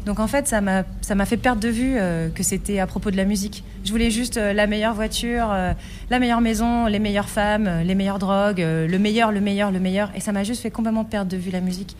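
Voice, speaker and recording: A woman working as an interpreter, relaying a guest's answers quickly and conversationally. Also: medium pitch, steady tone, a little mellow, a little hiss or hum. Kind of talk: live interpretation.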